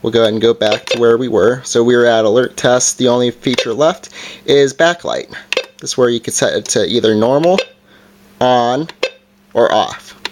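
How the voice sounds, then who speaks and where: A man giving an informal, hands-on product review in a casual explaining style, recorded indoors. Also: medium pitch. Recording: background noise with handling clicks.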